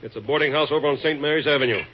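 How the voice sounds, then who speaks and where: An actor playing a worried, weary police chief, terse and businesslike, in a 1950s radio drama. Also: male voice, on the high side, racing, close to an everyday voice. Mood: wry. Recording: dull, noisy 1950s radio recording.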